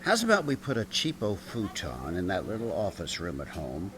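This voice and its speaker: An actor playing a wry, big-hearted restaurateur and ex-bartender in a comedic radio drama, teasing and theatrical, full of endearments. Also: male voice, sits low, huge pitch swings, moderate pace, background noise. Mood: breezy, teasing.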